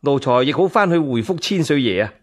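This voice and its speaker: Male narrator as young Cantonese-speaking palace eunuch in radio tale. Servile, courteous, gently insistent. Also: mid-range voice, expressive, fairly quick, natural voice. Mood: deferential, urging. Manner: polite, servile.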